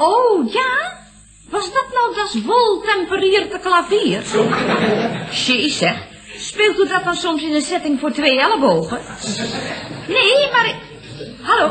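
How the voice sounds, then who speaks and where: A chatty woman secretary in an old Dutch radio comedy. She speaks high and very animatedly in a Netherlands accent. Her politeness is hesitant and put on. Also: exaggerated voice, low-quality recording.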